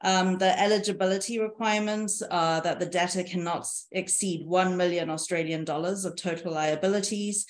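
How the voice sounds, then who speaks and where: A woman in her thirties presenting slides on a video call, in a measured, explanatory way. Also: lowish voice, fairly even intonation. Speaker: panelist presenting on insolvency reform. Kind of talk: presentation over shared slides. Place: indoor room, video call. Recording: echoey room on a video call.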